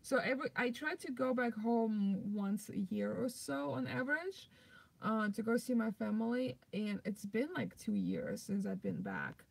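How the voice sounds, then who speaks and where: A woman's voice: a little high, sing-song, a little slow, gravelly, slightly rough, rough recording. A young woman with a Russian accent, whose first language is Russian, chatting loosely on a live stream and answering viewer comments with many fillers. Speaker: vlogger hosting a live stream.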